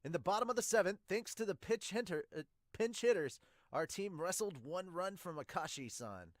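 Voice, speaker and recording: A man voicing a polite, chatty young baseball player recounting events in a lively amateur manga dub. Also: high-pitched, normal speed, no echo, clean studio sound, voice pushed up.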